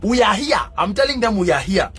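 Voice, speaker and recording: A man from Kenya chatting casually on a live stream as a football fan, answering viewers with many fillers and getting excitable and loud. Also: sits high, brisk.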